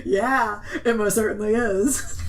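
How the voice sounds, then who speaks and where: A woman who makes audio drama chatting casually in a group interview, telling stories in an animated way and acting out reactions. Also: medium pitch, slow. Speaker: podcast drama creator and voice actor.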